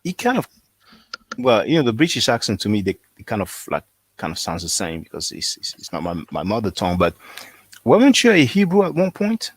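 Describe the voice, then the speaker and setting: A man moderating a panel call, friendly and halting as he invites speakers in. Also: lowish voice, wildly swooping pitch, breathy edge, dry recording.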